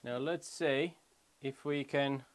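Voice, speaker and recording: A man's voice: medium pitch, steady tone, takes it slowly. A man in his thirties giving a casual hands-on product walkthrough, explaining step by step in short phrases as a vlogger. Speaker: motorcycle vlogger. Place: small wooden shed or garage room.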